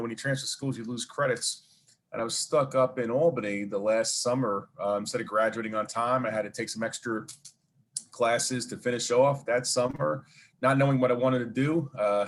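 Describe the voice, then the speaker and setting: A man with a Brooklyn accent, a guest telling long stories in an interview, rambling with many fillers and asides. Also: medium pitch. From New York. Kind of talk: casual storytelling interview.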